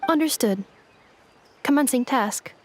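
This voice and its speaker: High-voiced, clipped robot. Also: female voice, expressive, measured pace, slight background noise. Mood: composed, obedient. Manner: clipped, formal, machine-like announcements. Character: polite, obedient, composed female robot. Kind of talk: video game voice acting.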